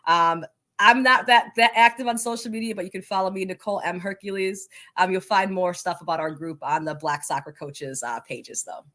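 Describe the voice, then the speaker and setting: A woman's voice: lowish voice, brisk, a bit bright. A woman speaking as an interview guest, answering conversationally in an enthusiastic, encouraging manner. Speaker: interview guest, coaches' association leader.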